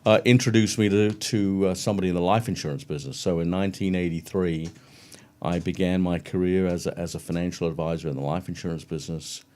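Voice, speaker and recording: A middle-aged man with a London English accent, a guest chatting casually and warmly about his career in an interview. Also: sits low, fairly even intonation, fast. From England. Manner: friendly, chatty, brief laughs.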